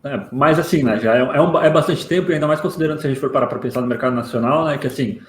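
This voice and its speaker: Brazilian man, medium pitch, casual interviewer, halting thoughtful phrasing, echoey room, faint noise.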